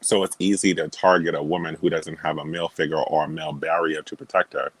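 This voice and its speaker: Very deep-voiced man, dry sound. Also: little pitch movement, quick pace, fairly bright tone, husky, dry recording, poor audio. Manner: firm opinions, many fillers, laughs at himself. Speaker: guest. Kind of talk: casual opinionated chat.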